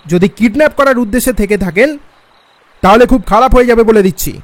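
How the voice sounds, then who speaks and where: A man's voice: extremely high pitch, fairly quick, no echo, voice pushed up. A man voicing an agitated, frightened male passenger in a horror audio story, prone to stammering. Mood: alarmed anger.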